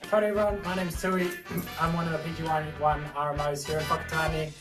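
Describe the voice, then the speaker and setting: A woman's voice: low-pitched, level tone, fairly quick. A woman, a first-year junior doctor, earnestly encouraging applicants. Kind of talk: promo self-introduction. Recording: echoey, distant mic with music.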